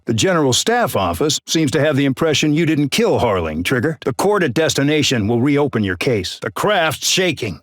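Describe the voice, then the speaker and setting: A man's voice: a little high, no echo, clean studio sound. A man voicing an arrogant, bullying male air base colonel in a game, barking brusque, contemptuous orders. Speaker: military commander. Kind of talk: game voice acting.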